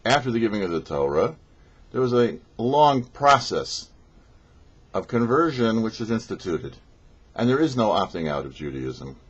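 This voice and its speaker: A man, a rabbi, giving scripted religious teaching in a measured, explanatory way on his show. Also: lowish voice, sing-song, husky, dull audio. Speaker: rabbi hosting a weekly Torah portion show.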